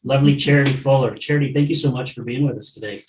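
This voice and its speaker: A man's voice: medium pitch, racing, a little mellow. A man hosts a recovery podcast in an easy, enthusiastic way, laughing and chatting freely. His mid-range voice sits back from the mic in a roomy space, with a faint hiss behind it. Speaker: podcast host. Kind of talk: casual chat. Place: room with a live audience. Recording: roomy, from a distance, faint noise.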